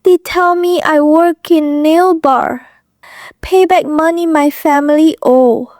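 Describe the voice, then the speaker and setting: An actress playing a frightened, guarded young woman with a thick foreign accent, speaking halting broken English in an audio drama. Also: high-pitched, steady tone, darkish tone, no room sound, studio quality. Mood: ashamed, anxious. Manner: halting, broken English in short clipped phrases.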